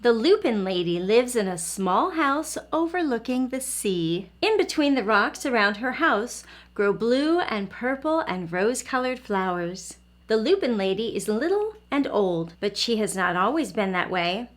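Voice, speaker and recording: A woman's voice: on the high side, lively intonation. A woman hosting an early learning lesson, speaking to small children in simple, encouraging phrases and questions. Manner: encouraging, asks questions and pauses for young viewers. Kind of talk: children's lesson and storybook read-aloud.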